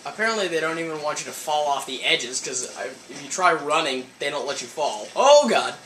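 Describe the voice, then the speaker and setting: A game player giving casual let's play commentary, thinking aloud and exclaiming in an animated way. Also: mid-range voice, a little fast, a little hiss or hum. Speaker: gaming video host. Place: indoor room, playing in front of TV. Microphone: camera mic filming a TV screen. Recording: roomy camera recording with game music. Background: video game music.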